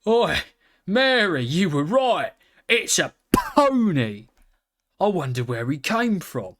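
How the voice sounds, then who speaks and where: A man voicing a gruff, rumpled caravan man in a storybook, blunt and exclamatory. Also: sits high, very animated, takes it slowly, a bit bright, pitched above the performer's own voice, full character voice. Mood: surprised.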